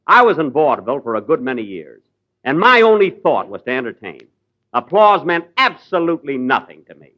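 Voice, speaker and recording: A man's voice: a little high, big pitch swings, brisk. A man hosting a 1940s radio comedy show, with wry, indignant comic timing. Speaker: comedian host. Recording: dull old radio broadcast recording. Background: studio audience laughter.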